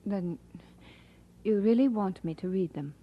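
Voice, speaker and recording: A woman's voice: mid-range voice, a little slow, highs cut off. An actress playing an affectionate, teasing young woman engaged to be married, in an audio drama. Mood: hesitant, questioning. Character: affectionate, teasing young bride-to-be who also narrates warmly.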